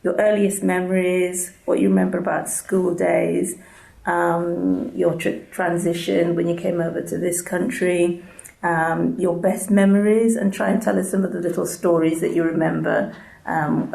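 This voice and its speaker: Very creaky, low-voiced woman interviewer. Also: level tone, normal speed, mellow. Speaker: oral history interviewer. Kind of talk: introducing and prompting an interview. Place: indoors at an arts centre. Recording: echoey room.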